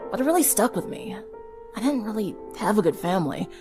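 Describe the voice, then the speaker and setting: A woman's voice: medium pitch, very animated, brisk, darkish tone, soft background music, close to an everyday voice. A woman voicing a brash, sarcastic teenage former-siren girl with a snappish, dismissive manner in a dramatic story reading. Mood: guarded, confiding. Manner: snappish, dismissive, grudgingly candid. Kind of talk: dramatic fan-fiction reading.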